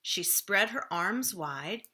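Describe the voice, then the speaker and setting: Female storybook reader, medium pitch, bright tone, expressive and deliberately dramatic delivery for young listeners. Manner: deliberate, dramatic emphasis with drawn-out phrases. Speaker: children's librarian reading aloud. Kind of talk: expressive children's storybook narration.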